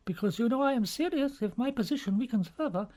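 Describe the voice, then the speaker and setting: A man voicing an anxious, confiding older cardinal in an audiobook, speaking earnestly and sometimes in a hush. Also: very high voice, expressive, fast talker, pitched above the performer's own voice. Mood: fretful, earnest. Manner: fretful, earnest, hushed at times.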